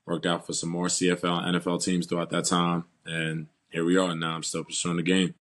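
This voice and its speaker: A young man from New York talks about his football career in a calm, steady way. His voice is very deep and stays flat and even in pitch. He answers at an unhurried, relaxed pace. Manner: calm, steady storytelling with "uh" and "you know". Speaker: football player, guest. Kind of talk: casual interview answers.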